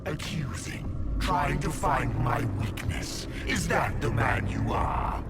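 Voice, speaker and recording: A volatile, imperious demon in a fantasy video game. He taunts grandly at a slow pace in a mid-range voice. The music behind is very loud. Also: mid-range voice, very animated. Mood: cold taunting. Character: envy demon in stolen form, imperious, volatile, mocking. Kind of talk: video game villain acting.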